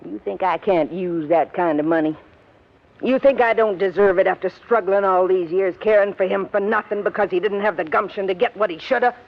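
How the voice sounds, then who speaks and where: An actress playing a bitter, domineering middle-aged working-class wife in 1950s radio drama, brusque and scornful, with ungrammatical speech. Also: female voice, mid-range voice, a little fast, creaky. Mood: defiant. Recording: dull old 1950s radio recording.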